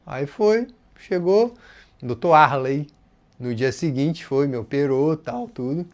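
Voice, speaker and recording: A man's voice: on the high side, slow. A man from Rio de Janeiro with a carioca accent, casually telling a personal story to his channel's viewers. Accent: Rio de Janeiro Portuguese. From Rio de Janeiro, Brazil. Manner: casual and expressive, voices others' words in quotes. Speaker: channel host.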